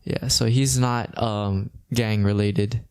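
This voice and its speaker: A young man in his late teens from Hawaii, hosting a casual podcast chat in a flat, monotone way with lots of restarts. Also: on the low side, slow pace, clear, resonant tone. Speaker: podcast host.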